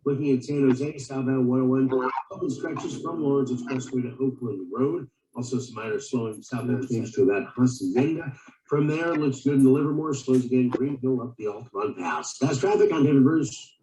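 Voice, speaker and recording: Male traffic reporter on radio, mellow voice, echoey and far off, bit of noise. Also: mid-range voice, moderate pace. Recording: distant, echoey, noisy playback of radio.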